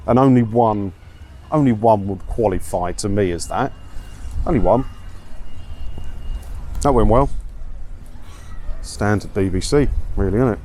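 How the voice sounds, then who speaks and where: A man's voice: lowish voice, a little slow, long pauses, warm tone. An English man chatting casually and giving his opinions as a video blogger, full of rhetorical questions, talking as he walks outdoors. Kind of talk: casual walk-and-talk commentary on news stories. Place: outdoors, walking around a seaside town. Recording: outdoor street noise, traffic.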